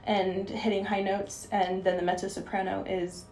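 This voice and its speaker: A woman's voice: mid-range voice, flat delivery, normal speed, a little mellow, roomy sound, a little hiss or hum, rough recording. Young woman explaining things on air. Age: young adult. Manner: explanatory, with fillers like "you know". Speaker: guest opera singer. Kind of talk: interview answers.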